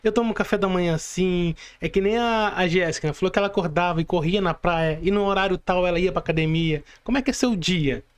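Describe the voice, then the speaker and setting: Brazilian male co-host, notably high voice, informal interview chat. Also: fast talker, few pauses, clear, resonant tone. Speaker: podcast co-host.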